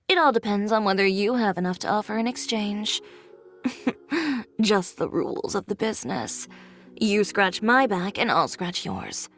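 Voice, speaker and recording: A woman's voice: medium pitch, lightly acted. An actress playing a confident, blunt young female boutique owner in a video game, with a cool, curt, self-assured manner. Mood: cool, businesslike. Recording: music behind.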